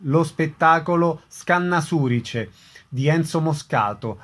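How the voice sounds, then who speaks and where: A man's voice: fairly high pitch, lively intonation, slow pace, a bit bright. A man reading a scripted announcement as a radio programme's announcer.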